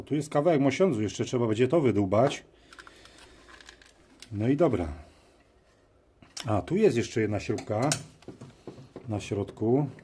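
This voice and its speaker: A man giving casual hands-on commentary, musing aloud in short, halting phrases as he works at a workshop bench. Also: mid-range voice, dark tone. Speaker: host of a DIY teardown video. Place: workshop at a cluttered metal bench. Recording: noisy workbench with clicks and clatter. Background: tool clicks and metallic clatter.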